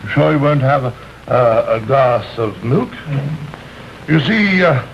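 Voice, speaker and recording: An actor playing a genteel, menacing alien in human form, speaking with courteous, hesitant formality in a vintage television drama. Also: male voice, mid-range voice, lively intonation, slow, vocal fry. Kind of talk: 1950s live television drama acting. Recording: muffled, noisy vintage television soundtrack.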